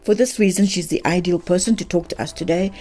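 A woman's voice: on the low side, fast, mellow, soft background music. A woman hosting a podcast, reading a scripted introduction and then asking conversational questions. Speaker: podcast host. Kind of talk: podcast interviewing.

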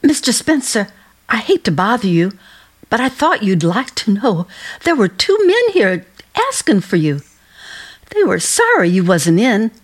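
A woman's voice: mid-range voice, sing-song, measured pace. A woman acting in a radio play as a chatty, solicitous working-class landlady with a folksy, motherly, fussing manner.

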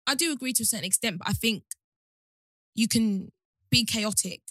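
A woman's voice: on the high side, normal speed, a bit bright, dry recording. A young woman co-hosting a podcast, speaking frankly and bluntly in casual chat.

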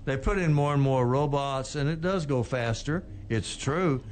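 An older man co-hosting a radio talk show, giving labor news in a plainspoken, unhurried way. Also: mid-range voice, a little mellow, a little hiss or hum. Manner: plainspoken, unhurried, with frequent restarts. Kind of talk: casual labor news chat.

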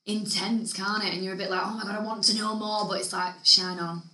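A woman co-hosting a podcast in casual chat, a little nasal, chatty and animated, laughing often. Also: mid-range voice, a little fast, echoey room. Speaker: podcast co-host.

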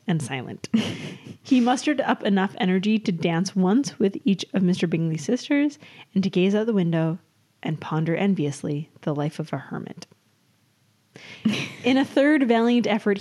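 A woman's voice: medium pitch, dark tone. Giggly woman reading her story aloud. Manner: giggly, breaks into laughter while reading. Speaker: podcast co-host reading their own story. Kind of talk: reading own comic period story aloud with casual chat.

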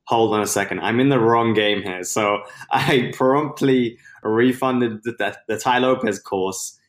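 A young man from London with a British accent, chatting upbeat as a podcast guest about his business start. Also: fairly low pitch. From London, England. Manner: chatty and upbeat, with quick laughs. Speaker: podcast guest, Amazon seller. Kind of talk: casual interview chat.

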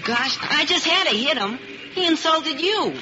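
An earnest, scrappy schoolboy with a very high, boyish voice, full of hero worship. It is old radio drama acting, on a dull recording with clear background noise. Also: animated, a little slow. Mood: eager, earnest. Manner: earnest, boyish, folksy exclamations. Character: eager, scrappy young schoolboy who idolizes a ballplayer.

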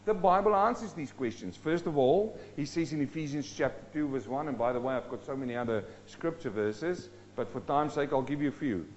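Male preacher from South Africa, lighter pitch, dramatic swings in volume and pace, dull lo-fi recording. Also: fairly quick, few pauses, a little mellow, a little hiss or hum. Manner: dynamic, rising and dropping sharply for emphasis. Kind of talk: sermon.

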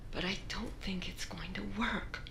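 A woman playing an anguished, doting mother in an audio drama, pleading and sorrowful, often hushed. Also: mid-range voice, normal speed. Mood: anxious, tender. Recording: clearly noisy background ambience.

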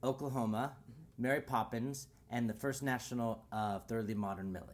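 A man's voice: mid-range voice, steady tone, brisk. A man hosting an interview podcast, moving between a prepared introduction and casual questions.

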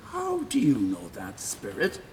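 A man's voice: medium pitch, huge pitch swings, a little slow, a little mellow, slight background noise. An actor in a radio play playing a vain, demanding, self-pitying old Irish dead man, blustering and stammering when upset. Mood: self-satisfied. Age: older.

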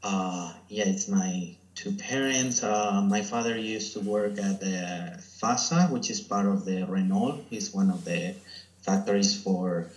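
A man's voice: low-pitched, steady tone, a little slow. A man from Spain with a Castilian Spanish accent, answering casually and hesitantly as an interview guest. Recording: echoey, roomy sound.

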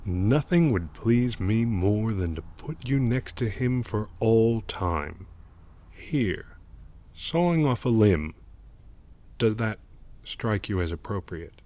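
A man's voice: lowish voice, lively intonation, takes it slowly, mellow. A man playing a gloomy, cynical old mural painter in an audio drama, speaking in a sardonic, world-weary manner. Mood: wry, needling. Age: older.

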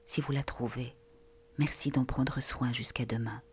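A woman narrator reading a poetic, introspective literary monologue in a hushed, breathy way. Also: very deep voice, steady tone, a little slow, slight background noise.

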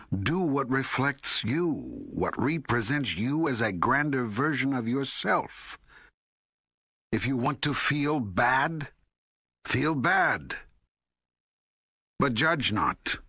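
Husky, solemn God. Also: male voice, mid-range voice, bright, forceful tone, no room sound, studio quality, exaggerated voice. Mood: firm, assured. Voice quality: husky, raspy. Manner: deliberate, solemn, emphatic. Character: God, calm authoritative male teacher. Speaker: audiobook narrator. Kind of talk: scripted audiobook dialogue reading.

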